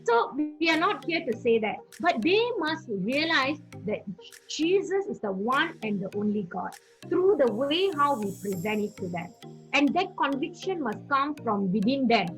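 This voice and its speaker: An earnest woman evangelist from Singapore speaks in a high, very lively voice, repeating key phrases to press her point home. Soft music plays faintly underneath. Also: a little slow, poor audio. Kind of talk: teaching testimony.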